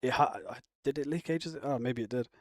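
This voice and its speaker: A man's voice: medium pitch, fast, dry recording. Rambling man, dry close sound. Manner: rambling, answers viewer comments, frequent restarts. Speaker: live streamer reacting to chat. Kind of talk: casual chat.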